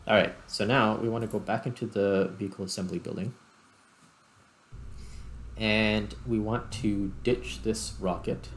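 A man giving casual gameplay commentary, thinking aloud in short, unhurried phrases. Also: on the low side, steady tone, a little hiss or hum. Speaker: gaming YouTuber, player commentating.

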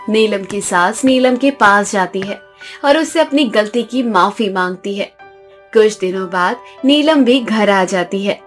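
Woman narrating a scripted story, faint music in the background. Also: mid-range voice.

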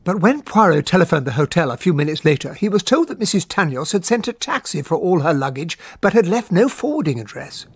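An actor playing a bluff British gentlemanly sidekick who narrates and talks in a radio play. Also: male voice, a little high, sing-song, fairly quick, few pauses, slightly rough, full character voice. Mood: matter-of-fact.

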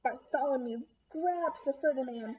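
A woman's voice: high voice, moderate pace, husky. A young adult woman reading a children's picture book aloud expressively, with questions and asides to her young listeners. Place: small room at home, indoors. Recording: dull lo-fi webcam sound.

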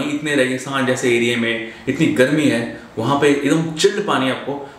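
A man in his twenties explaining a story informally to camera, halting as he searches for words and mixes in English. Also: mid-range voice. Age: 20s. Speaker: vlogger. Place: small bare indoor room. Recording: very echoey room, faint background noise.

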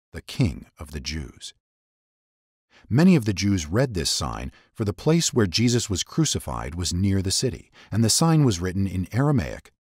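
A man narrating scripture dramatically, in a solemn and deliberate storytelling manner. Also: sits low, darkish tone, breathy edge, dry recording, studio quality.

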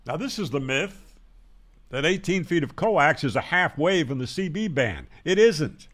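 An older man, in his sixties or beyond, presenting a conversational tutorial in a plain-spoken, emphatic way, pausing to stress key words, close on a microphone in a small home room. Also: fairly high pitch, big pitch swings, a bit bright. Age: 60s or older. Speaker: presenter of a ham radio channel. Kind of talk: explanatory tutorial to camera, conversational. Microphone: large broadcast microphone close up on a boom.

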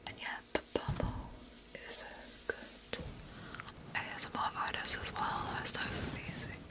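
A young woman whispering softly as she chats with viewers of her live stream. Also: moderate pace. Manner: soft unvoiced whisper throughout. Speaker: ASMR creator reading live chat.

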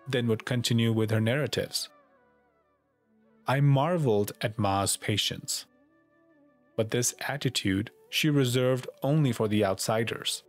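A man reads a written memoir aloud as narrator. His voice sits at an ordinary male pitch, with music playing faintly behind it. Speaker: disciple, co-narrator.

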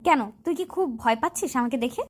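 High-pitched feisty college girl played by a woman. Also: expressive, brisk. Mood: teasing, insistent. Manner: scolding and pleading. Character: feisty, bossy college girl in love with her friend. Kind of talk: acted romantic audio story dialogue.

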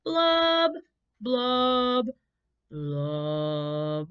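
A woman's voice: high-pitched, animated, takes it slowly, lo-fi sound, pitched above the performer's own voice. A woman voicing a gloomy, sulky male fish, chanting his lines in a sing-song rhyme.

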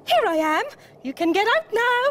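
A woman's voice: very high voice, very animated, slight background noise, voice pushed up, full character voice. A woman voicing a small, earnest male mole from a children's storybook in an eager, exclamatory manner. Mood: proud. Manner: exclamatory, hurried, determined.

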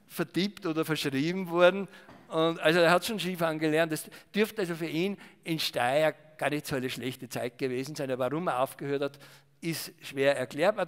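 A man's voice: fairly high pitch, clear, resonant tone. Older man lecturing in a hall. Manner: rambling, many fillers and restarts. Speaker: historian giving a talk. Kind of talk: discursive public talk with slides. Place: salon event room with small audience. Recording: reverberant hall.

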